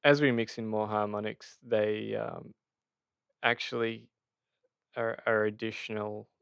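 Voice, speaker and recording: A man giving an unscripted technical tutorial, halting as he thinks aloud. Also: on the low side, little pitch movement, creaky, fairly bright tone, clean tone, no echo, clean studio sound. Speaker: audio tutorial presenter.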